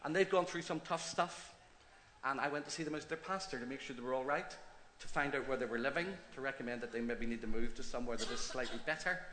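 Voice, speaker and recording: Middle-aged Northern Irish pastor, bright. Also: male voice, mid-range voice, fast, slight background noise. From Northern Ireland. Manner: chatty, self-deprecating, animated. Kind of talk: stage interview and preaching. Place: church hall stage.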